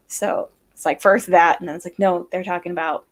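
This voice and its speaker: A woman's voice: lowish voice, fairly even intonation, a little fast. A woman guesting on a whiskey livestream, chatting casually in a giggly, playful way. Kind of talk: casual chat.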